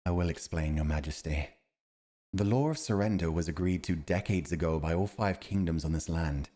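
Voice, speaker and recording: A man's voice: low-pitched, normal speed, mellow. A man acting a principled, earnest army commander advising a monarch in a fantasy audio roleplay, speaking in a formal, emphatic, righteous way. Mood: resolute.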